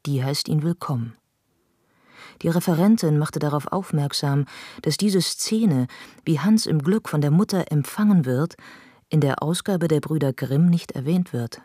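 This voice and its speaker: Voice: medium pitch, a little slow, mellow, husky, dry recording, studio quality. A narrator reading scripted literary prose in a radio play.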